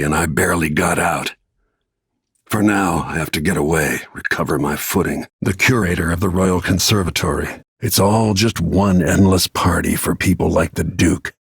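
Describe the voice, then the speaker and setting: An actor playing a grim, weary middle-aged bodyguard turned assassin in a video game, speaking tersely with a gravelly, husky voice. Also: male voice, low voice, a bit bright, no room sound, clean studio sound, full character voice. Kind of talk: scripted game voice lines, short dramatic remarks.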